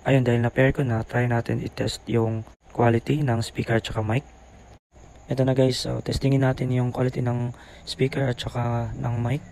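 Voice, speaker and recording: A man's voice: mid-range voice, flat delivery, moderate pace, gravelly, darkish tone, poor audio. A man with a Filipino accent gives a casual voice-over product review, keeping his voice down. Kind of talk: casual voice-over review mixing Tagalog and English. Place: boarding house room, voice-over. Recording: faint background noise.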